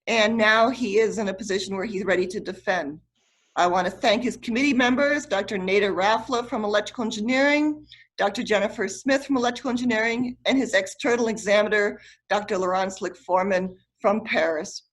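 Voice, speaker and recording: A woman's voice: medium pitch, brisk. A middle-aged woman speaking as an academic committee chair, giving a semi-formal introduction over a video call. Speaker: committee chair and PhD advisor.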